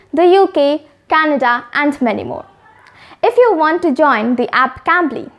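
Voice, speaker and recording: A woman reading a steady, scripted introduction to her video. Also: high-pitched. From India. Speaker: vlog host.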